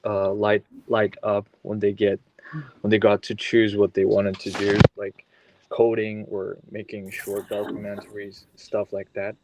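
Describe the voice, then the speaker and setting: A man's voice: lowish voice, a little mellow, no echo, rough recording. A young man from China in a class discussion, talking hesitantly with many fillers. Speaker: student discussing.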